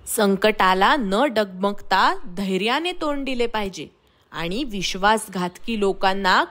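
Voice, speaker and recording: A woman telling a bedtime story to young children. Her voice is high and very lively in melody, and she takes her time over each phrase. Her manner is expressive throughout. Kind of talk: animated bedtime story narration.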